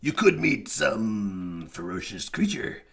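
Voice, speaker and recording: A man voicing a scheming, boastful male wolf with a deep, growling, sneering voice. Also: very animated, slow, gravelly, fairly bright tone, full character voice. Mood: sly. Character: scheming big bad male wolf, boastful, easily flustered.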